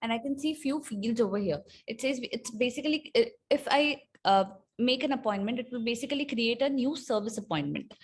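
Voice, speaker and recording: A woman presenting an unscripted software tutorial, explaining step by step in a somewhat halting, hesitant way. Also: fairly high pitch, lively intonation, breathy edge. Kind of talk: unscripted screen-share walkthrough.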